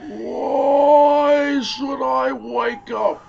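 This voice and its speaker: A woman voicing a proud, grumbling old male camel in a storybook, complaining in a drawn-out way. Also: sits high, steady tone, creaky, darkish tone, muffled recording, slight background noise, pitched above the performer's own voice, exaggerated voice.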